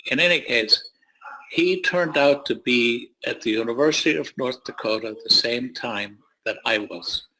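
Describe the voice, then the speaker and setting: A man's voice: medium pitch, moderate pace, sharp tone, low-quality recording. A man in his seventies or older, a retired surgeon who grew up in the United States, telling personal stories as an interview guest in a wry, plain-spoken way. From born in Poland, grew up in the United States. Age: 70s or older. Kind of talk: autobiographical storytelling in an interview.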